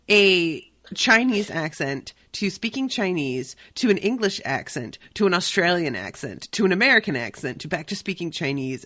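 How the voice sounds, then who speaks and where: A woman hosting a podcast, chatting casually about a book with quick, irreverent asides and the odd swear word. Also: on the low side, fairly bright tone, dry recording. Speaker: podcast host. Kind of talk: casual chat.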